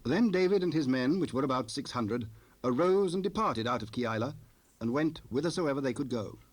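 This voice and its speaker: A man narrating a dramatized scripture recording in a formal, measured storytelling manner. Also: mid-range voice, animated, close to an everyday voice.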